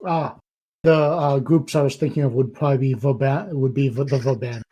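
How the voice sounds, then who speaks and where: A man's voice: medium pitch, fairly even intonation, fairly quick, warm tone, breathy edge, muffled recording. A man chatting casually on a podcast, explaining things in rambling runs with many fillers.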